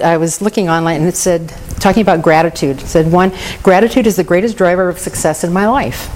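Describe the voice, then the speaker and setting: A woman's voice: on the low side, darkish tone, a little hiss or hum, rough recording. A woman speaking candidly and earnestly about her recovery, with touches of self-deprecating humor. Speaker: recovery meeting member. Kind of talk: personal recovery share.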